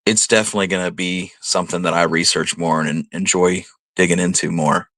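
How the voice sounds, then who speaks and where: A man with a notably deep voice talks casually as a show co-host. His delivery is conversational and unscripted. The recording is tight and dry. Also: fairly even intonation, brisk, a bit bright, dry recording. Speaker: co-host of a history radio show.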